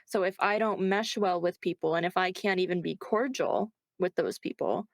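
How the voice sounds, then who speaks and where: A woman's voice: on the low side, moderate pace, vocal fry, no echo. A woman chatting casually as an interview guest, informal and reflective, often checking in as she explains. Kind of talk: casual chat, answering interview questions.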